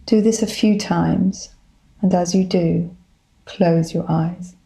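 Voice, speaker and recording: A woman leading a guided body scan meditation, giving gentle, soothing instructions in a calm manner. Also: lowish voice, takes it slowly, vocal fry, low-quality recording. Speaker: psychologist, guided meditation leader.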